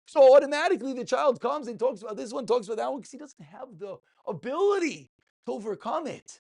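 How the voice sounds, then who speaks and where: Emphatic, gravelly man preaching, animated delivery. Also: extremely high pitch, fairly quick. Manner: emphatic, rhetorical questions, repeats phrases for emphasis. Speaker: rabbi teaching a religious lesson. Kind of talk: passionate informal sermon.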